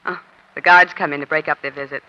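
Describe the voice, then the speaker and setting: A woman's voice: deep voice, steady tone, fast talker, sharp tone. A woman playing a savvy, chatty American newspaper reporter who feigns casual innocence in a 1940s radio crime drama. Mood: slyly chatty. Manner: breezy, chatty, slyly casual. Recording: dull, lo-fi old radio recording.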